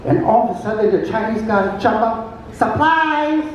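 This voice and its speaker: Brief: older man, Cantonese first language, high voice, chatty stand-up through an echoing ship PA, taped from far back. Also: expressive, very noisy. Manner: chatty joke-telling with frequent pauses and fillers. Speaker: talent show contestant. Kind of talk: stand-up comedy. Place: large atrium on a cruise ship. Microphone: handheld microphone through a PA, filmed from afar. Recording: booming PA echo from a distant camera.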